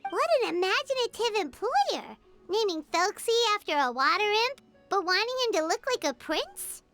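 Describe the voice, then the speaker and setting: A woman voicing a tiny, cheerful, childlike fairy companion in a video game, squeaky, bubbly and curious. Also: sing-song, normal speed, fairly bright tone, dry recording, soft background music, heavily acted. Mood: excited, chirpy. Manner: bubbly, refers to self in third person.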